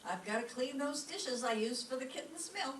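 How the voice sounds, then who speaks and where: A woman's voice: sits high, lively intonation, normal speed. Earnest, homely woman played by an actress for radio comedy; matter-of-fact, roomy, far from mic. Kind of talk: old-time radio comedy acting. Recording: echoey stage, distant mic, clear noise.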